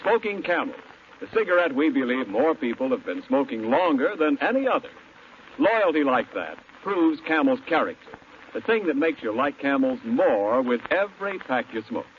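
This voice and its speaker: A male radio announcer reading a 1940s sponsor pitch in an emphatic, salesmanlike way. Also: sing-song, normal speed. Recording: noisy, muffled 1940s radio broadcast.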